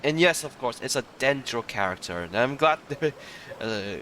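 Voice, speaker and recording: Halting male gamer with animated pitch. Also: a little hiss or hum. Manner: halting, rambling, chuckles between phrases. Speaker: gaming streamer. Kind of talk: casual game playthrough commentary.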